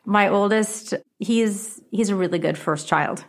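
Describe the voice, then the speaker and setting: A woman's voice: medium pitch, unhurried. A middle-aged woman whose first language is Japanese, a book author talking as an interview guest, chatty and often restarting her sentences. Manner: chatty, restarts sentences, lively rises when excited. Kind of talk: interview conversation.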